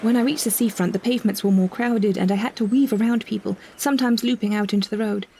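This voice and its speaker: A woman reading a first-person literary story in a measured, descriptive way. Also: medium pitch, fairly even intonation, mellow, a little hiss or hum. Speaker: narrator and performer of a short story.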